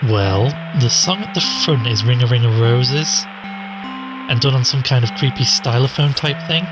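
An actor playing a skeptical investigative podcast host in an audio drama, haltingly thinking aloud. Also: male voice, medium pitch, music in the background, natural voice, poor audio. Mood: puzzled, skeptical. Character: skeptical male investigative show host puzzling over clues.

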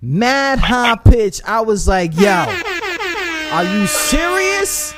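A man with a high voice hosting a casual radio chat, animated and profane, giving shout-outs and often repeating himself. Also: slow, over music. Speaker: internet radio host.

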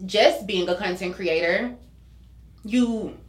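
A woman's voice: mid-range voice, slow. A woman in her twenties chatting candidly and informally as a podcast guest, using casual slang. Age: 20s. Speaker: podcast guest, social media content creator. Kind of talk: casual conversational interview. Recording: echoey room sound.

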